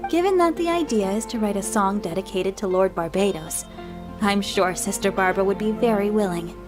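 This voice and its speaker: A woman voicing a cautious, measured church nun in a fantasy game, polite and doubtful. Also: mid-range voice, over music, natural voice. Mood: hesitant. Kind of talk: game voice acting.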